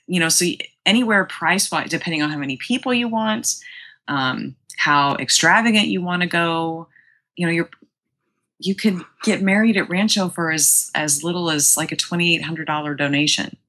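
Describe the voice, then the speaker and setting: A woman with a notably low voice that has a bright, forceful edge. She talks in a casual interview style, as an enthusiastic guest who gushes about her job. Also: moderate pace. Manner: enthusiastic, effusive. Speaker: guest, museum publicity director.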